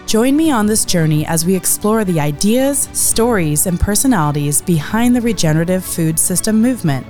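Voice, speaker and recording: A woman's voice: fairly low pitch, a little mellow. A woman, speaking as a podcast host introducing and interviewing.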